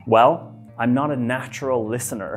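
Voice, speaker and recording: A man in his thirties with an Irish accent mixed with American, giving a casual, self-deprecating lesson on facilitation in a bright office. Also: mid-range voice, soft background music. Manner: lively, chatty, self-deprecating, with quick restarts. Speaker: facilitation trainer. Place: bright office room by a whiteboard.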